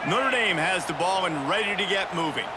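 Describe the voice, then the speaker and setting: A man's voice: sits high, expressive, moderate pace, heavy background noise. A man calling American football play-by-play in short, clipped phrases. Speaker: play-by-play commentator. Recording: loud stadium crowd and music. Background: roaring crowd, music.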